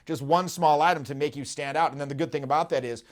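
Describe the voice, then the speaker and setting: Animated storytelling man in a studio. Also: fairly high pitch, fast talker. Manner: animated storytelling, acts out quotes. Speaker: dating coach and host. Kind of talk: casual podcast chat. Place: dark studio, indoors.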